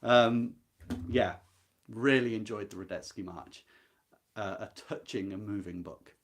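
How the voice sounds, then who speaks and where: A man's voice: fairly low pitch, measured pace, slight background noise. A man hosting a book club, talking informally and live about literature in a reflective, earnest and emphatic way. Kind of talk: informal live talk about a classic novel.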